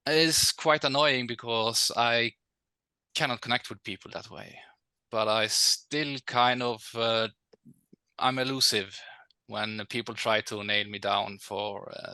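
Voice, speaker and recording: A man's voice: on the low side, fairly even intonation, slow, gravelly, pauses often, bright, forceful tone, clear, resonant tone, no echo. A man with a Norwegian accent whose native language is Norwegian, speaking hesitantly with many fillers as a podcast guest. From Norway.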